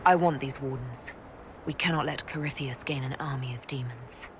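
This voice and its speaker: Female war leader, deep voice, firm, steely, authoritative, game cutscene, faint noise. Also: normal speed. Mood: determined. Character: steely, commanding female leader and demon slayer. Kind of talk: video game cutscene acting.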